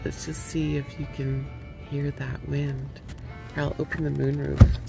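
Slow-talking woman vlogging from a camper van. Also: deep voice, little pitch movement, creaky, over loud music. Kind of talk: casual vlog narration. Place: inside a small camper van at night.

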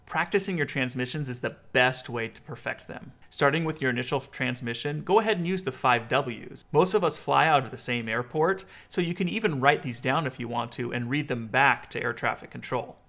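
An American man in his thirties giving a clear instructional explainer as a video host. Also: medium pitch, a little fast, few pauses, fairly bright tone.

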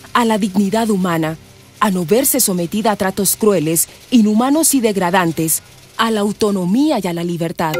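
A woman narrating a scripted radio drama. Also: medium pitch. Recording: music behind the narration.

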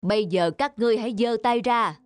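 A woman voicing a stern, shrewd male magistrate in a cartoon folk tale, speaking in a measured, formal, authoritative way. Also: fairly high pitch, lightly acted. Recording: music behind the voice.

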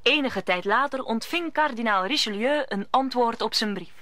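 Female narrator for a radio play: scripted storytelling, bright tone, slightly high. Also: expressive, moderate pace.

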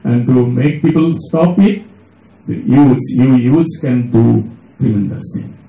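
A man's voice: mid-range voice, slow, creaky, mellow. A man with an Indian accent addresses an audience as a panelist, emphatic and insistent. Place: auditorium, seated at panel table. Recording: echoey auditorium recording.

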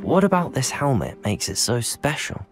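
An actor playing an earnest teenage wizard student in a video game, polite and composed. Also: male voice, medium pitch, wildly swooping pitch, moderate pace, music in the background. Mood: determined.

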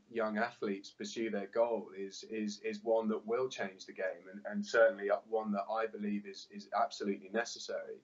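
Man on a muffled video call. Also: lowish voice. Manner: earnest, repeats words while thinking. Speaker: interview guest. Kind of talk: remote interview answers. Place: indoor room with glass doors. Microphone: laptop webcam on video call. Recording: dull lo-fi video call audio.

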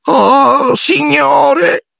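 A man voicing a small, anxious, devoted male house-elf for a storybook, squeaky and hoarse, servile and deferential. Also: extremely slow, fairly bright tone, dry recording, clean studio sound, heavily acted. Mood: tearful, fervent. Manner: speaks of himself in third person, deferential.

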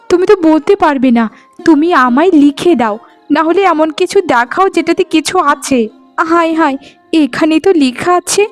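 A woman plays a devoted young bride in a cartoon folk tale. The voice is extremely high, earnest and plaintive, with soft music behind it. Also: lightly acted. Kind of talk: cartoon storytelling dialogue.

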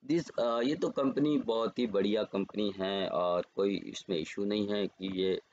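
A man with an Indian accent giving trading levels over charts as a market educator, unhurried and pausing often to correct himself. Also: fairly low pitch, darkish tone, muffled recording, a little hiss or hum. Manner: unhurried, pauses and self-corrects while reading levels. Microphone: screen recording voice-over.

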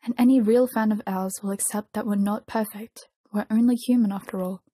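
A woman's voice: mid-range voice, steady tone, a little fast, warm tone, breathy edge, dry recording, natural voice. A woman voicing an earnest, caring young male pop idol in a story, insistent and reassuring in manner. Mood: calm, reassuring.

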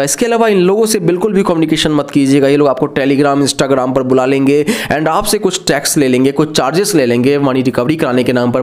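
A man's voice: mid-range voice, darkish tone. A young man on a lapel mic in a small indoor studio, explaining an online scam straight to the camera in an earnest, conversational warning tone. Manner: earnest, warning, conversational Hindi-English mix. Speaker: scam-awareness YouTuber. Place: small indoor studio with star-light backdrop.

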